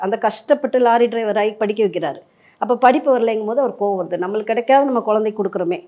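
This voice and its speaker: Higher-pitched woman explaining like a coach. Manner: emphatic, explains through rhetorical questions and examples. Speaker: life coach giving relationship advice. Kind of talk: explanatory interview talk, Tamil mixed with English words.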